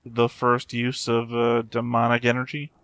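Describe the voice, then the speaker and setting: A man's voice: medium pitch, monotone, moderate pace, fairly bright tone, no room sound. A man giving casual reaction commentary as the host of a show.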